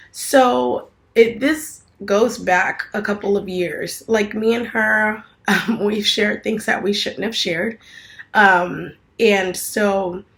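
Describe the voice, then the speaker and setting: A woman's voice: medium pitch, creaky, fairly bright tone. A woman in her twenties talking casually to her viewers in a rambling home vlog, full of fillers and restarts. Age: 20s. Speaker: vlogger.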